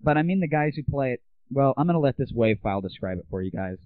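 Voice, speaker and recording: A man's voice: medium pitch, animated, quick pace, dry recording. A man hosting his own show, talking to listeners in a casual, chatty way. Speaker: radio show host. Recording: lo-fi dry recording.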